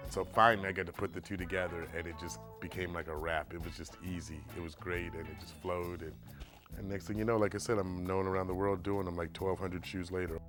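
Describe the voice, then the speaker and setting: A middle-aged man with a very deep voice talks about painting shoes, loose and rambling and full of "um"s. Faint music plays under the interview. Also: fairly quick. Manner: relaxed, rambling with many fillers. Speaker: shoe painter. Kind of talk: documentary interview.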